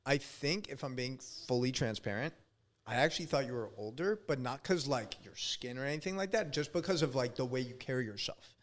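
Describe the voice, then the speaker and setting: A man in his thirties hosting a podcast, chatting casually and relaxed, with lots of "like" and some swearing. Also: mid-range voice, fairly quick. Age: 30s. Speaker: podcast host. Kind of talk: casual interview chat.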